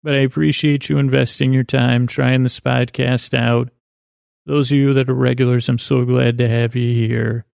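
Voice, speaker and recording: A man hosting a podcast with a halting, meandering bedtime monologue in a creaky voice, often trailing off mid-thought. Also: medium pitch, little pitch movement, darkish tone, dry recording, studio quality. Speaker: podcast host. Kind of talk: rambling comedic bedtime monologue.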